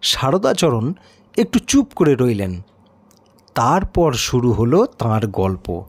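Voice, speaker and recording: Slow, animated man narrating a story. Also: medium pitch, husky. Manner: measured, expressive storytelling. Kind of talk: dramatic scripted audio story narration.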